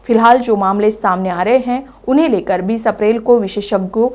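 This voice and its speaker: A woman's voice: mid-range voice, moderate pace, a little mellow. A woman anchoring a news bulletin, reading from a script.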